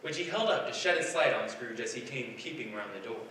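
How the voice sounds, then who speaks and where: Man narrating in bright tone. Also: medium pitch, expressive, a little fast, a little hiss or hum. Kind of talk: scripted narration, 1940s radio style. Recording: echoey stage from far off.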